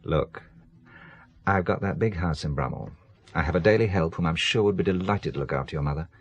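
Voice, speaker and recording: A man's voice: deep voice, lively intonation, fairly quick, slightly rough, dry recording, heavily acted. An actor playing a wry, secretive middle-aged businessman in a radio drama, dryly evasive and making light of things. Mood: tender, earnest.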